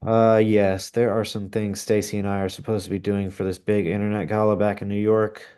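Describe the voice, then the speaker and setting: A man's voice: fairly low pitch, flat delivery, brisk, warm tone, clean tone, lightly acted. A man playing an eager, helpful office employee in a script table read. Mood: nervous, deferential.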